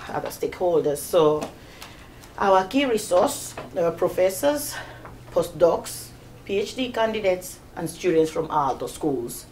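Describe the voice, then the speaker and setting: A woman in her thirties, originally from Tanzania, speaks in a lowish voice as she presents to a small group. She talks informally and hesitantly, with plenty of fillers and restarts. A faint hum of room ambience sits behind her. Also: warm tone. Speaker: guest speaker.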